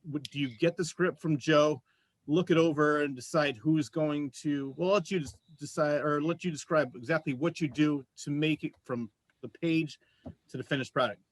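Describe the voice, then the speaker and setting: A man's voice: a little high, fairly even intonation, moderate pace, no room sound. A man hosting an interview show, asking questions in a conversational style.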